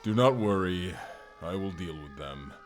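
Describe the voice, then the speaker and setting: A man's voice: low voice, expressive, measured pace, very noisy. A man playing an earnest wasteland barbarian turned corporate fighter in an audio roleplay, speaking in stilted, literal, short sentences. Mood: stern, formal. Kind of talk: immersive first-person audio roleplay acting.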